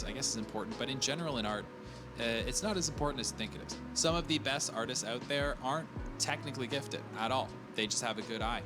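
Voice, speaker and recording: A man in his thirties giving earnest, encouraging advice to viewers as a digital artist, acting out the voices of the people he quotes. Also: fairly low pitch. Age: 30s. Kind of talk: motivational talk to viewers. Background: clear music underneath.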